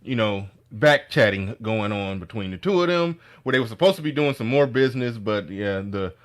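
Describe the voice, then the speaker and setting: A man's voice: on the low side, lively intonation, moderate pace. A man in casual online chat, rambling with many fillers.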